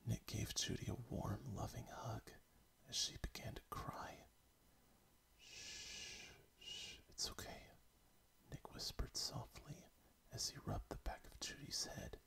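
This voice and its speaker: A man reading a romantic animal story aloud in a soft whisper. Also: lowish voice, unhurried, creaky, hardly any pauses. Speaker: story reader.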